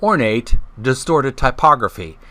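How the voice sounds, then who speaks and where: A man hosting a video gaming channel, casually presenting and reading aloud in a halting, hesitant way. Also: mid-range voice, sing-song, sharp tone, dry recording. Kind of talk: casual vlog intro and reading aloud from a book.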